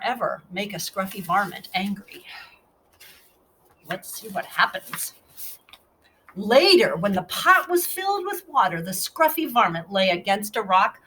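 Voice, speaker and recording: A woman's voice: on the high side, a bit bright, a little hiss or hum, rough recording. A woman narrating a children's storybook expressively, with asides to her young listeners. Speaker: storybook reader.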